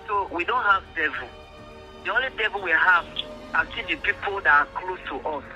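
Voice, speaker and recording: Nigerian man, high voice, quick talker, pleading, emphatic, repetitive, phone conversation. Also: pushed and bright. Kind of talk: earnest phone conversation in mixed English and Pidgin. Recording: phone call with music behind.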